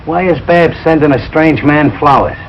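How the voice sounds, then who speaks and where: A man's voice: on the high side, normal speed, close to an everyday voice. An actor playing a blustering, suspicious middle-aged working-class father in a 1940s radio situation comedy, exclamatory and emphatic. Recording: dull, noisy 1940s radio recording.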